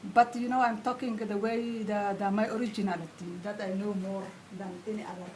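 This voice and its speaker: A woman's voice: medium pitch, fairly even intonation, a little fast, a little mellow, echoey room, far from the mic, a little hiss or hum, poor audio. A woman from Somalia, a guest on a radio panel who talks earnestly and often restarts her phrases. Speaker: studio guest.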